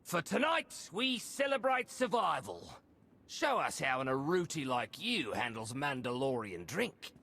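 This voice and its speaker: A man playing a boisterous veteran warrior with a gravelly, boastful and mocking manner. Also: a little high, very animated, unhurried, sharp tone, full character voice.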